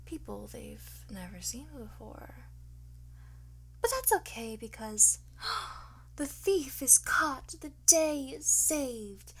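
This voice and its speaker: A woman playing a flirtatious, boastful master thief in an ASMR roleplay, soft and often whispering, theatrical and smug. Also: sits high, very animated, takes it slowly, a little hiss or hum, full character voice, poor audio. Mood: sly, gloating. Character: teasing, boastful female master thief under interrogation.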